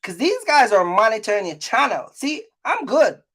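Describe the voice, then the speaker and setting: A man's voice: high voice, huge pitch swings, normal speed, fairly bright tone. Middle aged guy doing a casual vlog rant, repeats himself for emphasis, keeps checking you get it. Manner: repetitive, emphatic, checks in with listeners. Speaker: trucking business owner and content creator. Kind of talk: casual vlog monologue to viewers.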